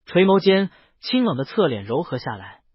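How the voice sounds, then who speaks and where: Lively higher-pitched man narrating a story. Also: moderate pace, slightly rough, dry recording, muffled recording. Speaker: audiobook narrator. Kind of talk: dramatic scripted story narration.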